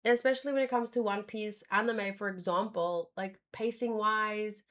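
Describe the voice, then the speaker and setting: A woman's voice: mid-range voice, fairly even intonation, normal speed, a bit bright. A woman talking casually and informally as a livestreamer giving commentary, often reworking her sentences as she goes. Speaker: streamer reacting to manga.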